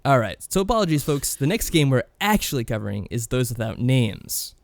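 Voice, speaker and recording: A man co-hosting a podcast, discussing games casually in a measured, mild-mannered way. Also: mid-range voice, very animated, vocal fry, slight background noise. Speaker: podcast co-host.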